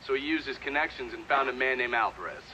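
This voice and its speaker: Bright-voiced, sardonic intelligence operative. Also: male voice, medium pitch, lively intonation, fast talker, lo-fi sound, slight background noise. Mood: matter-of-fact recounting. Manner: dry, matter-of-fact. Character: sardonic, shrewd male intelligence operative and accomplice. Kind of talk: cinematic game dialogue, part recounted testimony.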